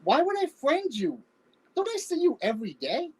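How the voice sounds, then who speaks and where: A woman's voice: high voice, very animated, moderate pace, darkish tone, low-quality recording. A woman acting a defensive but playful long-married spouse in an amateur comedy play reading, offhand and deflecting.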